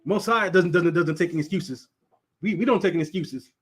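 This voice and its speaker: A man's voice: high voice, fairly quick, breathy edge. Stuttering man teaching scripture. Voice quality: stutters, repeats words. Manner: laughs often, talks to the class directly. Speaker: teacher leading a Sabbath class. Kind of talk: casual Bible teaching.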